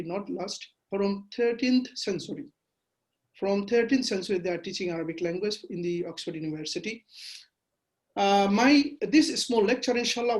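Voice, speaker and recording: Man lecturing, many halting fillers. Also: high-pitched, rough recording. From Bangladesh. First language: Bengali. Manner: measured, halting with frequent fillers. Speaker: guest lecturer. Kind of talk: webinar lecture.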